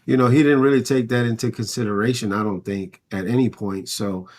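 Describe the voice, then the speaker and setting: A man's voice: medium pitch, steady tone, fairly quick, a little mellow. Casual male sports podcast co-host.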